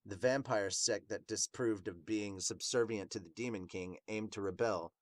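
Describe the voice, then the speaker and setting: A remorseful demon captain, acted by a man, tells of a tragic past for a manga dub. The voice sits fairly low and moves in a measured, brooding way. The recording is dry. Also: dry recording, natural voice. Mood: somber, regretful.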